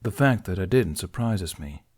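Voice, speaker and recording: A man voicing an older male Jedi master who speaks in a measured, apologetic way. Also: low-pitched, expressive. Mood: remorseful. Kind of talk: fan audiobook reading.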